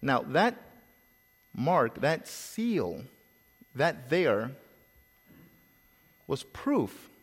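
A man from El Salvador with a slight Salvadoran Spanish accent, preaching a sermon in a relaxed storytelling way, with pauses and repeated words. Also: mid-range voice, breathy edge, long reverb tail.

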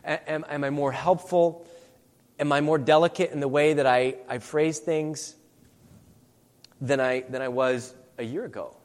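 A man's voice: a little high, fairly even intonation, unhurried. A man giving a conversational sermon. He asks his listeners many rhetorical questions. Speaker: preacher. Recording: long hall reverb.

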